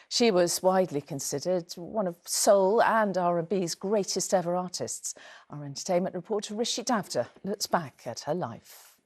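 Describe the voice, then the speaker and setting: A woman's voice: on the low side, darkish tone. A British woman reading scripted news as a studio presenter.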